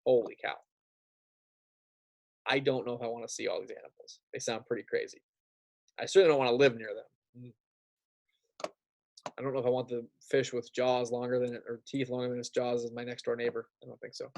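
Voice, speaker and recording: A man's voice: medium pitch, level tone, brisk, vocal fry, long pauses, a little mellow, a little hiss or hum. A man teaching young students in an informal read-aloud style, chatty and often checking in with them. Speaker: elementary school teacher. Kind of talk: read-aloud lesson with casual asides.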